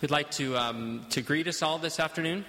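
A man interpreting a sermon live, halting often with fillers as he searches for words. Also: on the high side, sharp tone, long reverb tail. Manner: hesitant, halting, many fillers.

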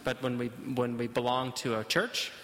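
Bright-voiced hesitant male interpreter. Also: medium pitch, little pitch movement, big-room sound. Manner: hesitant, halting, many fillers. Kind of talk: live sermon interpreting.

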